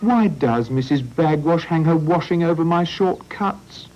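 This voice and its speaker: A man's voice: mid-range voice, big pitch swings, moderate pace, over loud music, voice pushed up, close to an everyday voice. A man voicing an eager, cheeky young taxi driver, on a lo-fi storybook recording. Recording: lo-fi old recording.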